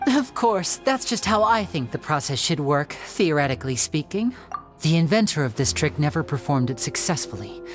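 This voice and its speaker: A woman playing a poised stage magician in a video game, speaking in a theatrical, measured, confiding way. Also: low voice, big pitch swings, soft background music. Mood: poised, explanatory, then grave. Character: poised female stage magician explaining tricks and clues. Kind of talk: scripted video game voice acting.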